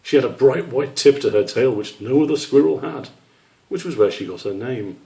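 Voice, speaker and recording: A man's voice: mid-range voice, animated, normal speed, creaky, mellow. A man narrating a children's storybook as the author reading his own work.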